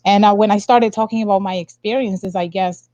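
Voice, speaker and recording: A woman's voice: medium pitch, fairly even intonation, brisk, dark tone, no echo. Young woman on a muffled video call. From Dominican Republic. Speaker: interview guest, science communicator. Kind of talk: casual personal storytelling. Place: indoor room, on a video call. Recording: dull lo-fi video call audio.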